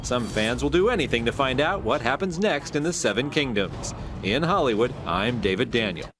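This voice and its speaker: A man's voice: mid-range voice, expressive, moderate pace, fairly bright tone, slight background noise. A man giving a scripted entertainment news report as a correspondent. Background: music under the voice.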